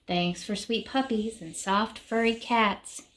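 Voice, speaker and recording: A woman reads a children's picture book aloud at a slow pace, pausing between short phrases. Her pitch is ordinary for a woman, and there is faint noise and clicking on the recording. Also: fairly even intonation, a bit bright. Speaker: reader of a children's story.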